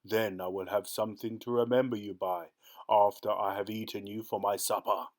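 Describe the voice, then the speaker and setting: A man voicing a fierce, cruel, boastful ogre with a slow, booming roar. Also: flat delivery, lightly acted.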